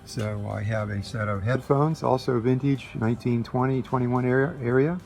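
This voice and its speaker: A man casually demonstrating a vintage radio in a halting, unhurried way, as a collector explaining his set. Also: mid-range voice, steady tone, gravelly, a little mellow. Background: vintage radio playing music and broadcasts.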